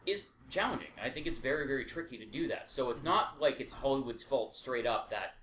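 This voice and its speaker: A man's voice: medium pitch, expressive, a little fast, a bit bright. A man co-hosting a casual podcast, explaining his opinions at length with the odd swear word. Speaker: podcast co-host. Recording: echoey room with faint background noise.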